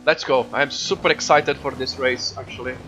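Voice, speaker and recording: A man with a Brazilian Portuguese accent, a game streamer chatting casually and excitedly with viewers, swearing freely. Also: medium pitch, moderate pace, dull audio, a little hiss or hum.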